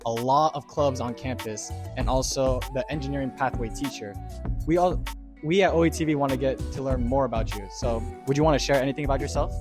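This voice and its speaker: A young man asks a teacher questions in a polite, slightly halting way, his voice at an ordinary middle pitch. A music bed plays clearly underneath. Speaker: student interviewer. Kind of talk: scripted interview questions.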